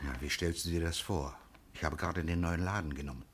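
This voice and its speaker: An actor playing an anxious, pleading pharmacist in a secret affair, in a 1960s German radio crime play. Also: male voice, low voice, steady tone, fairly quick, slight background noise, lightly acted. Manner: urgent, pleading, hushed on the phone.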